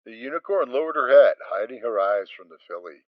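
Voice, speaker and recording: A man's voice: mid-range voice, animated, brisk, dry recording. A man reading scripted story narration as a narrator, with a theatrical storytelling delivery. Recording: dry, slightly dull home recording.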